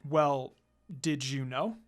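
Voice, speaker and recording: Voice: mid-range voice, slow, faint music behind, pitched below the performer's own voice, close to an everyday voice. A reader voicing a laid-back, skeptical male ninja mentor in a laconic, murmuring manner.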